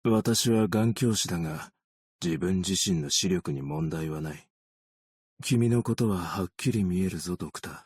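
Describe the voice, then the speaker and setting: A man voicing a weary, gentle, long-lived optician in a video game, measured, understated and quietly melancholic. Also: low voice, breathy edge, dry recording, studio quality. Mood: calm, dry. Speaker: video game character voice actor. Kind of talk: scripted game character voice lines.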